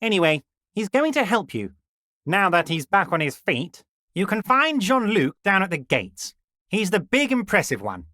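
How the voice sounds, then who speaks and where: An actor playing a scheming middle-aged union boss who flatters in a smug, drawn-out way, in a video game. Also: male voice, sits high, no echo, studio quality, full character voice. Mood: oily flattering. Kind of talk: video game character dialogue.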